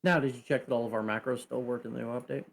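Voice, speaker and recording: A man's voice: mid-range voice, steady tone, racing, vocal fry. A man playing in a tabletop game, chatting casually with friends online. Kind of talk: casual chat.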